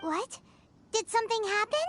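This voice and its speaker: A woman voicing a tiny, excitable, nosy fairy companion with a squeaky childlike voice in an anime game. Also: moderate pace, faint music behind. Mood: startled. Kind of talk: anime video game voice acting.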